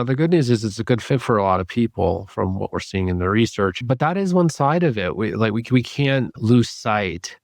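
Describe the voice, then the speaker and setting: Male interview guest, lower register, reflective and deliberate, recorded dry. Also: no room sound. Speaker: podcast guest, psychedelic therapy clinician.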